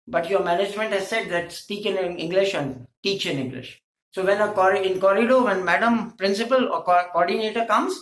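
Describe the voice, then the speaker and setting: A woman's voice: medium pitch, roomy sound, low-quality recording. A woman with a Pakistani accent, a native Hindko speaker, giving a teacher training lecture in an emphatic, scolding way full of rhetorical questions.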